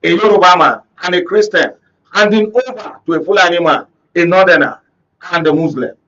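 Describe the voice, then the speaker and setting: A Nigerian man with a fairly high voice speaks as a commentator on a political panel. He is emphatic and rhetorical, punctuating his points with questions to his listeners. The broadcast sound is a little dull and lo-fi. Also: brisk, a bit bright. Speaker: panel guest, conflict reporter. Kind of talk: political commentary on a talk show. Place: radio and TV studio discussion.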